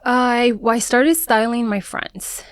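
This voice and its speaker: A woman born in Mexico and raised in San Diego, a wardrobe stylist, telling her story in an earnest, halting way as an interview guest. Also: a little high, steady tone, clear, resonant tone, no room sound. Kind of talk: conversational interview.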